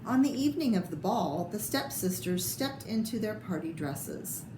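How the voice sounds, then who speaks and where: A woman reading a children's picture book aloud with expressive, theatrical delivery and short asides about the pictures. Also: medium pitch, normal speed. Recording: echoey room with clear background noise.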